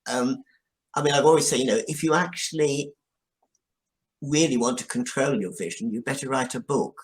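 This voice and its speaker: A man giving reflective interview answers as a guest, explaining things in a thoughtful, halting way. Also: medium pitch. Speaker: guest, drama writer.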